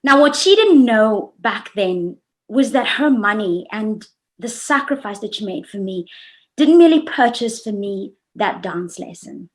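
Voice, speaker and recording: A woman in her forties giving a prepared personal talk, measured and reflective. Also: medium pitch. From South Africa. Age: 40s. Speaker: speaker. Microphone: video call.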